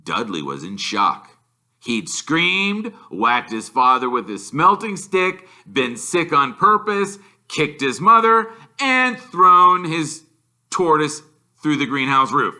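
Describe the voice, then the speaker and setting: Bright, animated male storyteller. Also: on the high side. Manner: animated, deliberate. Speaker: reader, storyteller. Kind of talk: expressive children's novel narration.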